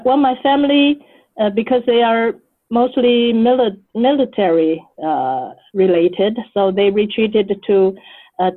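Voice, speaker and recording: A woman in her seventies from Taiwan, an author answering interview questions thoughtfully, with frequent pauses and fillers. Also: mid-range voice. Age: 70s. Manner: thoughtful, hesitant, many fillers. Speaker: author guest. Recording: phone-like call line.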